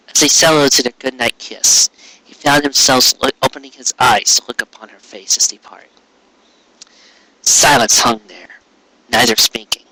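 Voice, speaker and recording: A man reading a story aloud as a dramatic narrator, earnest and expressive, pausing for emphasis. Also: medium pitch, low-quality recording. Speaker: fan fiction reader.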